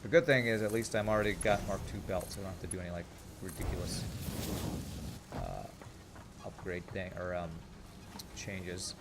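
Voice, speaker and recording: A man's voice: sits low, expressive, normal speed, pauses often, heavy background noise. A man with a slight Texas twang, thinking aloud as he casually narrates his gameplay. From California, raised in Texas from age nine. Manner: thinking aloud, mumbling asides. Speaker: gaming channel host.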